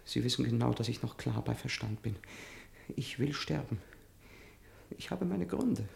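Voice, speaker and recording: An actor playing a stubborn, bitter wounded man in a radio play, weak and fading, speaking in halting, labored phrases. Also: mid-range voice, expressive, vocal fry. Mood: pleading, weak. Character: mortally wounded man, bitter, stubborn, vengeful, determined to die. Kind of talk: German radio play acting.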